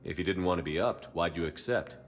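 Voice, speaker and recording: An actor playing a steadfast, commanding human military commander in a space opera video game. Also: male voice, deep voice, a little fast. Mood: calm, sympathetic. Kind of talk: video game dramatic dialogue. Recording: hall reverb, music behind.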